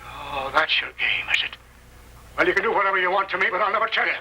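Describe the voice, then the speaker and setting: An actor playing a stubborn, feisty old gold prospector with a raspy folksy drawl in an old radio western. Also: male voice, high-pitched, animated, fast talker, pushed and bright, full character voice. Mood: defiant. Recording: vintage lo-fi radio drama recording.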